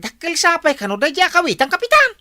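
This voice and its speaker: Woman acting a bright, lively Ilocano villager. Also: very high-pitched, fast, dry recording, studio quality, full character voice. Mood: teasing. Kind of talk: Ilocano radio comedy drama acting.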